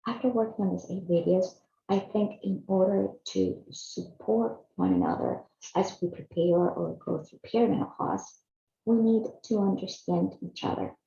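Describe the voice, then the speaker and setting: A woman's voice: medium pitch, fairly even intonation, normal speed, vocal fry, dark tone. A woman in her fifties from Chile, earnestly narrating a personal video series she hosts. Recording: echoey, slightly dull room sound.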